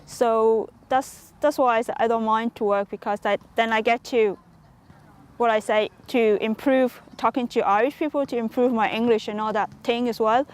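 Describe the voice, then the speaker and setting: A woman answering interview questions haltingly, often searching for her words. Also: on the high side, steady tone.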